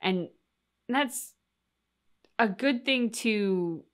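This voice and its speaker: A woman's voice: fairly high pitch, lively intonation, very slow. Reflective woman chatting as a reaction co-host. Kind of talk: casual discussion of a video game story.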